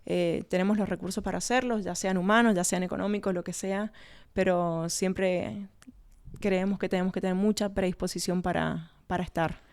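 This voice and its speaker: A woman's voice: on the low side, fairly even intonation, moderate pace, darkish tone. A woman with an Argentine accent, giving conversational answers as an interview guest. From Argentina, born in Colombia. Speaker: winery research and communication manager, interview guest.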